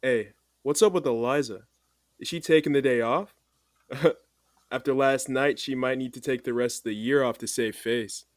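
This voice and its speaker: An actor playing an upbeat, brash executive who talks in casual slang, in an audio drama. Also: male voice, mid-range voice, normal speed, no room sound, clean studio sound, lightly acted. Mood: excited, teasing.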